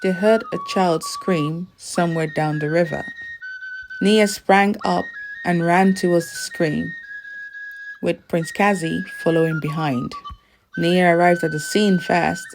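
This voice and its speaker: A woman reading a scripted folktale as a storyteller, in a measured storybook delivery. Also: on the low side, fairly even intonation, over music, poor audio.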